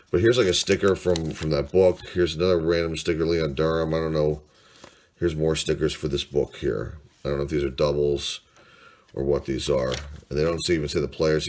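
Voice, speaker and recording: A man in his forties with a congested, stuffy voice, rambling casually through old finds and thinking aloud with lots of fillers. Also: a bass voice. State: congested from a sinus cold. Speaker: sports card collector. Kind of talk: casual show-and-tell vlog.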